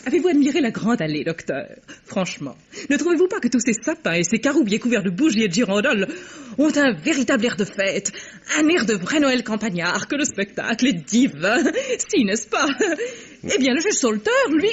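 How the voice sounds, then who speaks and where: A woman's voice: on the high side, very animated, fairly quick, slightly rough. An actress playing a theatrical, effusive middle-aged society mother in an old French radio drama. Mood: affected, complaining. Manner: effusive, affected, exclaiming. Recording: dull vintage radio recording.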